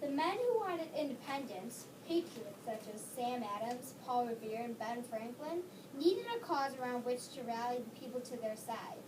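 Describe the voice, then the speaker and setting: A woman reading narration in a readers theater history play, steady and informative. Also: a little high, normal speed, few pauses. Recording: distant mic, clear background noise.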